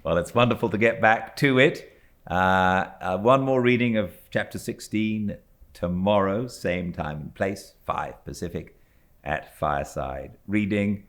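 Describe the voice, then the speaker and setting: A British man working as an audiobook narrator, reading classic literature aloud with measured, theatrical phrasing. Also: sits low, fairly bright tone. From Britain. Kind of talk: expressive literary storybook reading.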